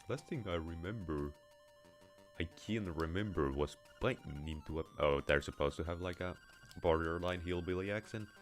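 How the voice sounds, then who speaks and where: A man's voice: sits low, normal speed, faint music behind. A man with a Finnish accent, mixed with American and a little Russian, giving casual, rambling gameplay commentary with wry asides and offhand swearing. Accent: Finnish, with American and slight Russian touches. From Finland. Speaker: let's play streamer.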